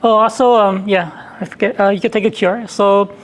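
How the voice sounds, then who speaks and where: A man with a hoarse voice giving a technical presentation at a meetup, talking haltingly with many restarts and repeated words. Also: sits high, steady tone, a little hiss or hum, rough recording.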